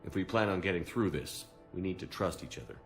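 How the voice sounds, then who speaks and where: A low-pitched male commander from a game, firm and businesslike. His delivery is terse and controlled, with music underneath. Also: expressive, quick pace. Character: male military commander, steady, firm, reassuring. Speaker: voice actor in a video game. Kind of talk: dramatic video game dialogue.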